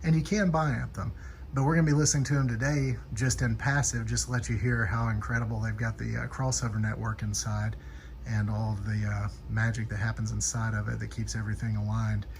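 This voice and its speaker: A man's voice: on the low side, flat delivery, brisk, vocal fry. Casual male product reviewer with background noise. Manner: informal, off-the-cuff. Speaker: audio equipment reviewer and installer. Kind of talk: casual product overview and demo.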